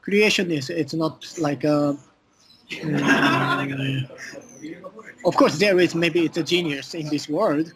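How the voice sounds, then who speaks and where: A man with a Japanese accent answering as an interview guest, speaking halting non-native English full of filler phrases. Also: on the high side, very noisy. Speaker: interview guest, art collective communications director.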